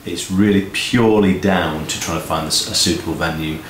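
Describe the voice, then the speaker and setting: A man's voice: low-pitched, steady tone, fairly quick. A man chatting casually as co-host of an update video, recorded in an office. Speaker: co-host of a music event promoters' video update. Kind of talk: casual two-person chat. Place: indoor office room. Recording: roomy echo, noticeable background noise.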